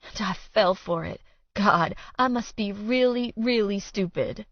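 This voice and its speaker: Woman acting out a hurt, furious young woman, higher-pitched. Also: unhurried, no room sound, muffled recording, natural voice. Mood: bitter, hurt. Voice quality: wobbly, quavering. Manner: emotional, accusing, crying out. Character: hurt, angry young woman confronting her lying lover.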